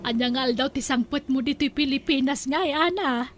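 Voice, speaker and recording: A woman as high-pitched scheming Ilocano mother. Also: normal speed, background noise, close to an everyday voice. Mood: ingratiating, jolly. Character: scheming, chatty middle-aged mother matchmaking her son. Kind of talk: audio drama acting.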